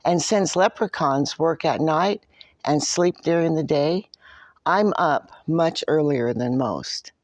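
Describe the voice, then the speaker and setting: A woman's voice: sits low, takes it slowly, darkish tone, no room sound. A woman voicing a grumpy, boastful little old male leprechaun shoemaker from a storybook, feisty and indignant. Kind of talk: storybook character narration.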